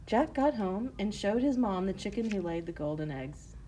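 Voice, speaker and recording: A woman storyteller reading a scripted children's tale in measured phrases. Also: medium pitch, expressive, warm tone, slight background noise, low-quality recording.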